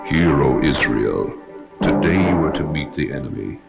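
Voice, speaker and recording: A man's voice: extremely low pitch, expressive, normal speed, gravelly, exaggerated voice. A man playing a grave, commanding ancient priest who solemnly recites scripture before battle in an old film. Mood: solemn exhortation. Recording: harsh old film soundtrack. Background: music.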